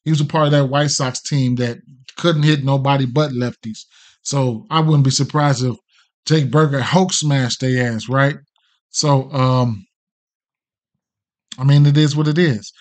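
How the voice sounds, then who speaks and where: A man's voice: mid-range voice, fairly even intonation, measured pace, pauses often, a little mellow. A middle-aged American man hosting a casual betting livestream, rambling off the cuff with plenty of slang and swearing. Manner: slangy, profane, hesitant and rambling. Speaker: sports betting show host.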